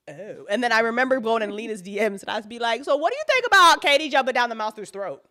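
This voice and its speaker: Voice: medium pitch, lively intonation, fast, sharp tone. An audio drama writer chatting casually on a live show, rambling and swearing freely, with slangy, dramatic retellings. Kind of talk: animated casual live chat.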